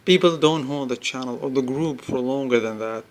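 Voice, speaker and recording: A man presenting an informal product demonstration, explaining step by step in halting phrases and repeating himself. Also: medium pitch. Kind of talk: informal spoken product demo.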